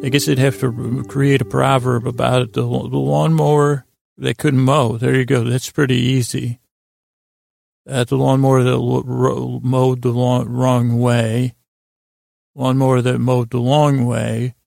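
A man hosting a sleep podcast with a meandering, whimsical monologue, halting often with stammers, repeats and long pauses. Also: medium pitch, flat delivery, creaky, no echo, studio quality. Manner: gently whimsical, wandering off on tangents. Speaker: podcast host. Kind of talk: rambling bedtime monologue to lull listeners to sleep.

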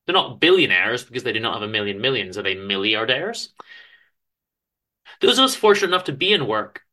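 A man giving a dramatic literary reading of a story, with theatrical, deliberate emphasis and pauses. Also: medium pitch, very animated, sharp tone. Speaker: author reading own story aloud.

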